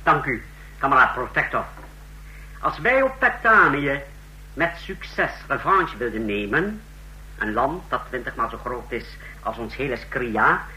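Sharp-edged secret police chief. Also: male voice, medium pitch, exaggerated voice, low-quality recording. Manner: formal, military. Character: stiff, self-important secret police chief of a fascist state. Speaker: radio drama actor. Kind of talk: mid-century radio play acting. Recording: old radio drama recording.

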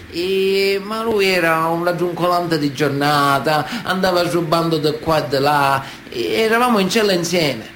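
Over clear background noise comes an indignant Sicilian mafioso with a fairly high voice. He is wily and unschooled, with a thick Sicilian accent. He addresses a judge in emphatic radio-drama style, stretching words and pausing for effect. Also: fairly bright tone. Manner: emphatic, theatrical, with drawn-out pauses. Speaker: stage actor. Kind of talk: theatrical radio drama monologue.

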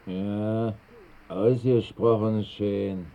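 An actor playing a dry, laconic vagabond companion with a deadpan delivery in a radio drama. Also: male voice, fairly low pitch, very slow. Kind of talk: 1960s German radio drama acting. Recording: muffled band-limited old radio recording.

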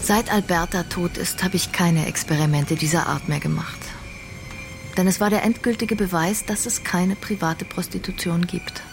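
An actress playing a sharp-minded, idealistic young woman in a radio crime drama, deliberate, analytical and decisive. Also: fairly low pitch, close to an everyday voice. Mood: coolly analytical. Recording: clear music behind the voice.

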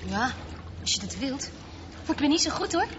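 A woman's voice: high-pitched, sing-song, normal speed, reverberant. An actress playing a casual, cool but curious teenage girl in a naturalistic radio drama. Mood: hesitant, amused. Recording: muffled, noisy radio drama recording.

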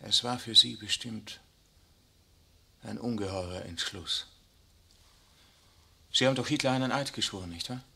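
An earnest, husky Jesuit envoy. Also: male voice, fairly low pitch, moderate pace, long pauses, heavily acted. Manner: calm, earnest, reassuring. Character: earnest young Jesuit priest, Vatican envoy. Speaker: radio drama actor. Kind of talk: 1960s radio play acting.

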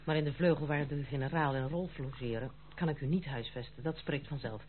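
An actress playing a dutiful, stern but kind granddaughter in an old Dutch radio play, speaking formally and gravely. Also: female voice, sits low, fairly quick, lightly acted. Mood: reserved. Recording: muffled phone-like old radio recording, faint hum.

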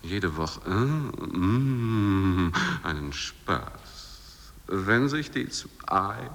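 Boozy thirtyish sports fan, radio play: creaky, crawling drawl, long reverb. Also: male voice, deep voice, animated, very slow, a bit bright, exaggerated voice. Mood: boozy, contented. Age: 30s. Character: boozy, simple-hearted male beer-drinking wrestling fan.

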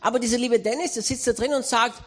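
A man with an Austrian accent preaching a sermon, telling a story with humor and lively emphasis. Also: very high-pitched, quick pace, husky. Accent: Austrian German. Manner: animated, humorous anecdotal delivery.

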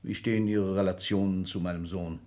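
A man's voice: sits low, steady tone, brisk, warm tone, lightly acted. A man acting an imperious older aristocrat in a 1960s German radio play, commanding and condescending in abrupt sentences. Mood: coaxing. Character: imperious older aristocratic Excellency, restless military-minded patriarch.

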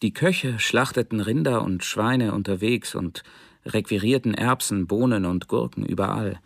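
An actor playing a hardened German soldier in a radio drama, giving a measured, grim first-person testimony. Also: male voice, lowish voice, gravelly, dry recording, studio quality. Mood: unsparing, grim. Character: hardened German soldier recounting plunder without mercy.

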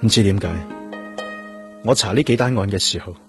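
An actor with a Hong Kong accent playing a determined, probing police detective in a radio drama. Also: male voice, lowish voice, fairly quick, natural voice. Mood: brooding, probing. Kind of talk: Cantonese radio drama acting. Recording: music bed behind the voice.

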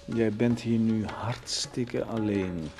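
A man's voice: fairly low pitch, steady tone, slow pace, music in the background. An actor playing a curt, brooding, irritable man who speaks tersely and emphatically in a Dutch radio play. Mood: ominous, emphatic.

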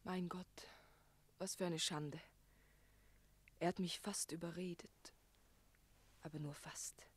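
An actress playing a gentle, anguished young maiden in a German radio play, breathy and earnest. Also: female voice, lowish voice, fairly even intonation, slow, long pauses. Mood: anguished, whispering.

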